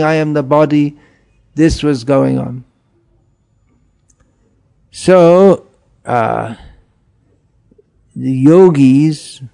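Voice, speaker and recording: Slow, mellow male spiritual teacher. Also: a little high, gravelly, clean tone. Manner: gentle, chuckling, questions the listeners. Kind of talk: informal spiritual talk.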